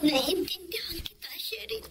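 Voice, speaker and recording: High-voiced, hurt young girlfriend. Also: animated, normal speed, creaky, slight background noise, full character voice. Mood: hurt, subdued. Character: hurt, compassionate young girlfriend seeking the truth. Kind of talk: Filipino radio drama acting.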